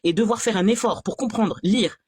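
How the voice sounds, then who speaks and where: Quick, husky, sarcastic woman. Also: medium pitch, no room sound, clean studio sound. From France. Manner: animated, exasperated and sarcastic. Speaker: guest on a live stream. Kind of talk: personal testimony in a live call.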